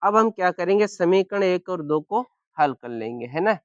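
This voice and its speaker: A man with a sore, hoarse throat teaching a maths lesson step by step, often asking if everyone is following. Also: high voice, expressive, moderate pace, no echo, lo-fi sound. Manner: keeps asking "clear?" and "any problem?".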